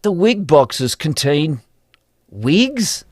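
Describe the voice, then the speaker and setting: A droll, gossipy opera trouper, acted by a man, with a fairly high voice and lively swings in pitch. It is played as comic audio drama. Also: extremely slow, dry recording, heavily acted. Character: wry, gossipy male member of opera troupe.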